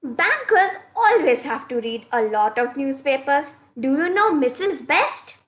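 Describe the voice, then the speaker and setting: A woman's voice: high voice, big pitch swings, unhurried, a bit bright, heavily acted. A woman playing a polite neighbourly lady in an amateur reader's theater, reciting her lines plainly. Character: polite neighbourly lady making small talk. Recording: dull, muffled sound.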